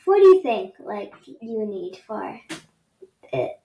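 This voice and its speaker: A woman's voice: mid-range voice, big pitch swings, slow, highs cut off. A young woman hosting a podcast interview over a video call from her bedroom, chatty and a bit hesitant, with many ums. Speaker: podcast host. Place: bedroom, indoors. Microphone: video call with laptop mic. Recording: echoey room with faint noise.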